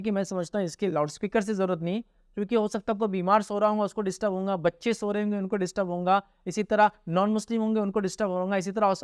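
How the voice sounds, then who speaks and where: A man's voice: sits high, clean tone, no room sound, studio quality. A man with an Indian accent answering religious questions informally as a scholar, explaining and often checking that listeners follow.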